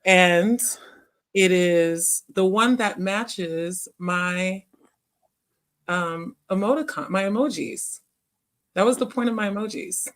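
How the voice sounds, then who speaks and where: A woman with a deep voice, chatting casually as a guest on a call and laughing at herself often. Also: slow. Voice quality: deep speaking voice. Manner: self-deprecating, laughs often. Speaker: live stream guest. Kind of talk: casual chat.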